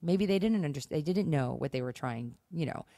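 A woman with a low voice gives conversational relationship advice as a podcast co-host. She is earnest and emphatic, circling back to key phrases. The sound is dry and close. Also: animated, fairly quick, dark tone, no room sound.

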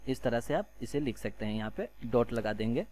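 A man's voice: mid-range voice, expressive, fairly quick, a little mellow. A man with an Indian accent giving an informal, step-by-step programming tutorial in a casual, self-deprecating way, often checking in as he goes.

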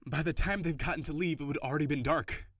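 A man's voice: a little high, fast talker, no echo, clean studio sound. Man playing a stressed, swearing boyfriend. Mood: irritated, exasperated. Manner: sighs often, curses when frustrated, softens into affection. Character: stressed, overworked office boyfriend, gruff but tender. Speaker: voice actor. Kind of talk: audio roleplay.

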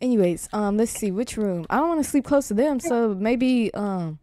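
Sassy, bossy granddaughter, played by a woman: high, very animated, exasperated, improvised roleplay. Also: normal speed, no room sound, studio quality, close to an everyday voice. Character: sassy, jumpy grown granddaughter taking charge. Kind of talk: amateur voiced roleplay, improvised.

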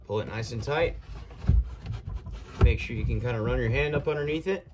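A young man casually walking through steps of a how-to under a carport at night, speaking in relaxed, plainspoken directions to a phone camera as he moves around. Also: mid-range voice, moderate pace, background noise. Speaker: kayaker demonstrating gear. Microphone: phone camera, moving around the car.

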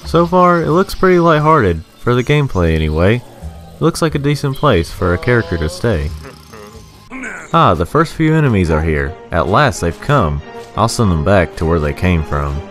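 A man's voice: on the low side, big pitch swings, moderate pace, fairly bright tone, faint music behind. A man reading a scripted, rhyming video game review in a sarcastic, sing-song manner.

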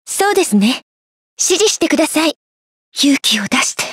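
A woman playing a graceful, humble young warrior queen in a video game, polite, soft-spoken and earnest. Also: high voice, very animated, moderate pace, dry recording, studio quality. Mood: gentle, modest. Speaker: game voice actor. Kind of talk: scripted Japanese video game character lines.

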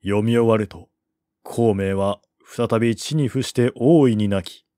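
A man narrating a historical novel as an audiobook, with a measured, expressive literary delivery. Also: fairly low pitch, no room sound, clean studio sound.

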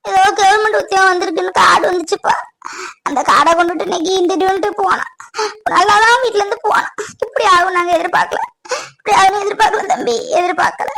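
A woman's voice: very high-pitched, quick pace, a bit bright, full character voice. A woman playing a distraught mother in a family melodrama, tearful and lamenting, with a southern Tamil Nadu accent. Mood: tearful pleading. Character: distraught, wailing mother of an injured grown son. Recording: clearly noisy track with clicks.

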